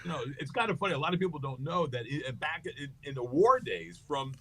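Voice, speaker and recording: A man's voice: on the high side, lively intonation, fairly quick, fairly bright tone, slight background noise. A middle-aged American man, a comedian guest, telling rambling, joking anecdotes full of fillers in a casual interview. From United States.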